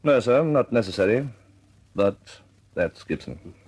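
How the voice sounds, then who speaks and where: British military officer, radio drama, deep bass-leaning voice, restrained, reflective, respectful of rank. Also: male voice, very animated, measured pace, darkish tone, slightly rough, heavily acted. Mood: sober, reflective. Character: British air force officer, deferential, reflective second-in-command.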